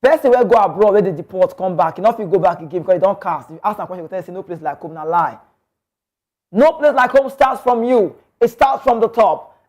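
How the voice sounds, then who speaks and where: A man's voice: sits high, fast, a little mellow, highs cut off. A Nigerian man hosting a sports talk show, giving passionate, opinionated football commentary full of emphatic rhetorical questions.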